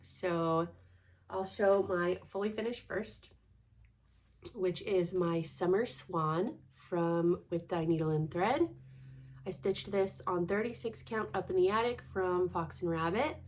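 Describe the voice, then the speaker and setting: A woman's voice: fairly low pitch, slow. Stuffy-nosed woman chatting casually. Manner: chatty, laughs often, rambling asides. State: congested, stuffed-up nose. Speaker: cross-stitch vlogger. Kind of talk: casual chat.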